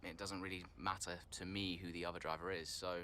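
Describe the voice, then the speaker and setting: A man's voice: low voice, level tone, fairly quick, sharp tone, slight background noise. An actor playing a guarded, dryly wry male racing driver who gives hesitant, diplomatic interview answers in a game. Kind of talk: post-session interview answers in a game cutscene.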